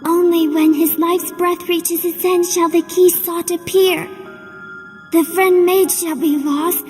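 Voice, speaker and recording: Tiny high-pitched moogle creature. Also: female voice, flat delivery, slow, a little mellow. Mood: fading, tender. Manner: cutesy, earnest, childlike speech. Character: small childlike loyal moogle companion, brave and sweet. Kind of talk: video game cutscene acting. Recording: long reverb, music behind.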